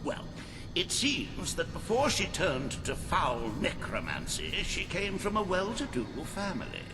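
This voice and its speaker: An actor playing a pompous, fussy official in a video game, flowery and hesitant in a theatrical way. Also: male voice, sits high, huge pitch swings, a little slow, heavily acted. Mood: flustered, officious. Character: pompous, fussy, squeamish male official briefing his superior. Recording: long hall reverb, music behind. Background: game soundtrack music.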